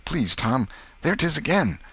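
A scruffy, gullible country boy from a storybook, as a male narrator puts him on. He is earnest and plaintive, with a drawling rustic dialect. The voice is husky and forceful, lifted above the man's own. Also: lowish voice, expressive, moderate pace, voice pushed up, exaggerated voice. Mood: fearful. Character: gullible, earnest, uneducated ragamuffin boy, easily scared.

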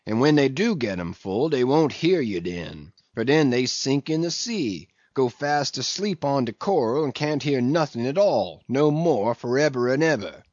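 A man's voice: medium pitch, expressive, moderate pace, hardly any pauses, a little mellow, no room sound, lo-fi sound, pitched above the performer's own voice, close to an everyday voice. A man voicing a sulky ninety-year-old ship's cook in a storybook, muttering and grumbling in a heavy dialect. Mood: weary grumbling.

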